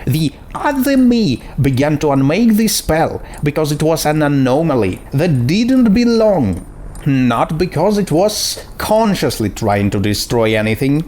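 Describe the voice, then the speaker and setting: A man voicing a smug, self-assured female pony mage in a storybook reading, explaining things with calm certainty. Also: a little high, measured pace, pitched above the performer's own voice. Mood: dismissive, assured.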